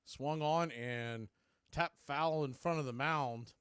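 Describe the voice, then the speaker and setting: A man calling a baseball game live in radio play-by-play style, in short clipped phrases between pitches. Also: slow, fairly bright tone, clean tone, dry recording, clean studio sound. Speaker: play-by-play baseball announcer and stream host.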